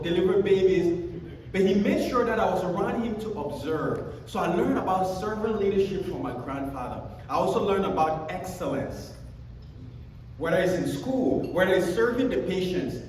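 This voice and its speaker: A man's voice: sits high, slight background noise. Cameroonian man speaking in an echoing hall. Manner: animated storytelling with self-deprecating jokes. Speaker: conference speaker. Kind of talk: motivational keynote talk. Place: large conference hall. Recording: echoey hall, speaker far from mic.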